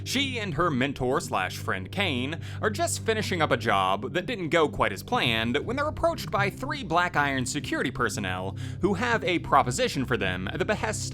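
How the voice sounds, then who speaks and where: A man reads scripted narration as a video host. His slightly high voice swings very widely in pitch, with music clearly audible behind him. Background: loud music.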